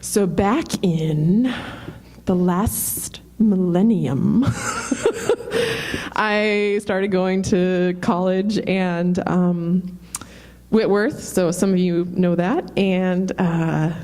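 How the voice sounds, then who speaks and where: Middle-aged woman sharing a faith story, slow and earnest, laughs a lot. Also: fairly low pitch, fairly even intonation, dark tone. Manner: laughs often, unhurried, earnest. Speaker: church member sharing testimony. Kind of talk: personal testimony.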